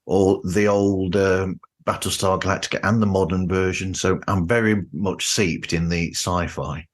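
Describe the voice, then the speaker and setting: British man with a lowish voice. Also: steady tone, moderate pace. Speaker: podcast host. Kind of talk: casual chat.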